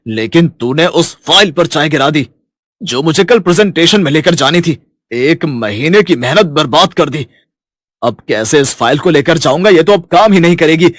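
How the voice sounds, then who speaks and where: A man's voice: a little high, animated, normal speed, no echo, studio quality. A man as a domineering, scolding office boss. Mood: angry. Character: harsh, short-tempered male senior office manager. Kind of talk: storybook character voice.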